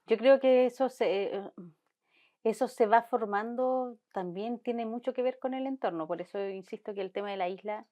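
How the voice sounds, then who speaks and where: A woman's voice: on the high side, moderate pace. Thoughtful Chilean woman scientist, slightly dull sound. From Chilean Patagonia. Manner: thoughtful, searching for words, frequent restarts. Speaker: marine biologist guest. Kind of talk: conversational interview.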